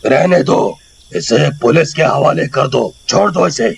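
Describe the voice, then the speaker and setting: An actor playing a protective, determined village husband, speaking urgently in an animated story. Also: male voice, fairly high pitch, unhurried, gravelly, slight background noise, full character voice.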